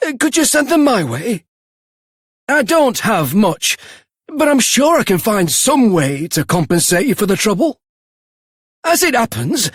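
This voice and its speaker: Very high, flamboyant self-styled celebrity. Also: male voice, no room sound, studio quality, full character voice. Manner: theatrical, florid, self-satisfied. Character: vain, flamboyant celebrity monster enthusiast, florid and friendly. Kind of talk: theatrical video game voice acting.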